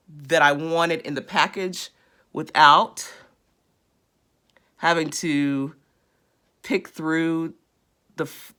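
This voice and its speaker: A woman in her thirties with a raspy voice, chatting casually while she demonstrates a beauty routine close to a phone camera indoors. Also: low-pitched, pushed and bright. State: raspy after illness. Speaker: beauty vlogger. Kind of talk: casual how-to demo. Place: small indoor room.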